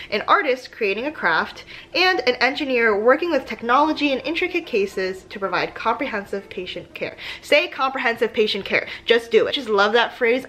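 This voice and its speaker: A young woman vlogger giving chatty, expressive, self-deprecating advice, moving between casual talk and dramatic reading. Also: a little high. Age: young adult. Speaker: advice vlogger for pre-dental students.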